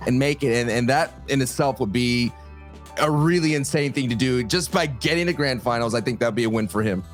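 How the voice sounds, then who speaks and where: A man's voice: mid-range voice, fairly quick. A man giving live esports analysis in a conversational, emphatic way. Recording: music playing behind the voice.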